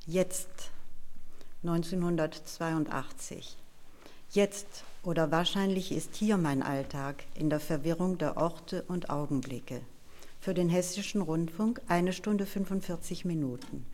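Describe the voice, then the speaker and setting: A woman interpreting and presenting in a measured, deliberate way, phrase by phrase. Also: low-pitched, darkish tone. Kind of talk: live consecutive interpreting and reading of a prepared text.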